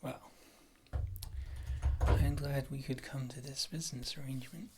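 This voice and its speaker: A woman playing a wry witch healer and former adventurer in a fantasy ASMR roleplay, speaking in a soft, breathy whisper close to the microphone. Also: extremely low pitch, fairly even intonation, heavy background noise. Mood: confiding, businesslike. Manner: rambling, wry, gentle, unhurried.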